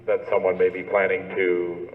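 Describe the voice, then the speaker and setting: A man's voice: low voice, completely flat delivery, normal speed. A man playing a dismissive police desk sergeant in a suspense radio play, patronizing and stolid, heard through a telephone filter. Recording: tinny phone-like band, echoey hall.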